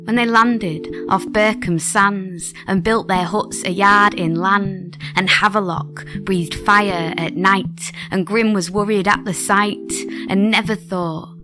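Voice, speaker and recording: A woman reciting a poem as a guest reader, in a measured, rhythmic storytelling delivery. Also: mid-range voice, fairly even intonation. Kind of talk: poetry recital. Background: music.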